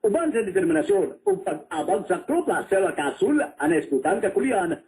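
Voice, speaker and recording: Male radio announcer, Cebuano Filipino accent, scripted advertising copy. Formal and emphatic, lively, slightly high register. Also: a little fast.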